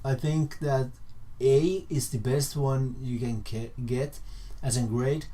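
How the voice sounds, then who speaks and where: Mellow-voiced young Finnish man explaining casually. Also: mid-range voice, steady tone, slow pace. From Finland. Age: young adult. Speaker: vlogger. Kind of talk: casual informal explainer to camera.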